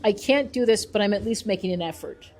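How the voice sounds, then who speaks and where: A woman's voice: medium pitch, lively intonation, a little fast, a little mellow, slight background noise. A woman giving thoughtful interview answers as a researcher and author, explaining her views in an earnest, emphatic way.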